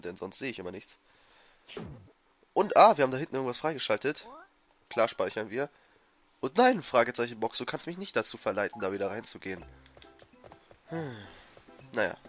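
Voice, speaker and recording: A man with a cold giving excitable, frustrated commentary over a platform game he is playing. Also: medium pitch. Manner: frustrated, excitable, swears and laughs. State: has a cold, coughing. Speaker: let's player. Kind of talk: gameplay commentary. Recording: faint game music behind. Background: game music.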